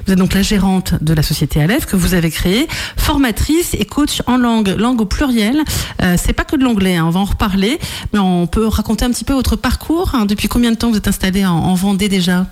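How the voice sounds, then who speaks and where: Woman, radio host, fast talker, mid pitch, chatty, friendly asides. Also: expressive, a little mellow. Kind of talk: radio interview.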